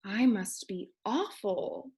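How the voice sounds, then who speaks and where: A woman's voice: medium pitch, expressive, slow pace, a little mellow. A woman voicing an anxious, easily hurt male school building in a storybook, with a timid, sighing, apologetic manner. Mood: glum.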